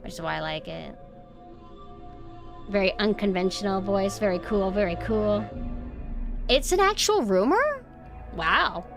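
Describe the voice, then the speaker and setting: A woman's voice: medium pitch, very animated, unhurried, vocal fry, pauses often, music in the background. A young woman with a nasal voice chatting casually on a stream. She rambles, swears freely and is sarcastic.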